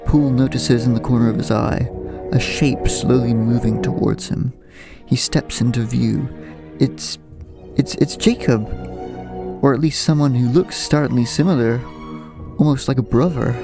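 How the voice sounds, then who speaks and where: A man narrating a horror audio drama in a measured, suspenseful way. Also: mid-range voice, steady tone, gravelly. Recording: music underneath.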